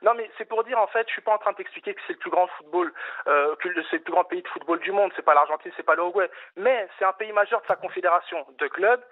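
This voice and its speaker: A man's voice: high-pitched, quick pace. A male caller making an insistent case about football on a radio phone-in, with many fillers and restarts. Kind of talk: animated phone-in football debate. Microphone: telephone. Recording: tinny phone line.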